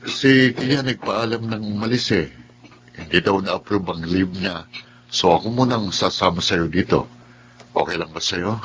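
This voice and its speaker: Caring flirty charmer in pinoy radio drama, low soothing voice, slight noise. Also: male voice, measured pace, a bit bright. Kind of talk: Filipino radio drama acting.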